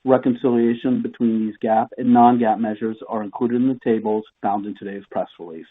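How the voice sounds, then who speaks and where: A man's voice: mid-range voice, fairly even intonation, fairly quick. A man reading formal, scripted corporate statements as the moderator of an earnings call over a conference phone line.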